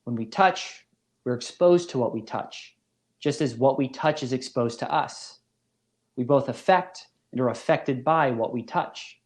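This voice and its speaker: A man giving a scripted academic lecture as a philosophy professor, in a measured, formal manner. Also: mid-range voice.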